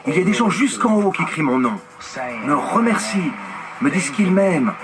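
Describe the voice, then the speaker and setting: A man's voice: sits high, very animated, moderate pace. A middle-aged man dubbing a documentary subject in an earnest, heartfelt voice-over. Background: music.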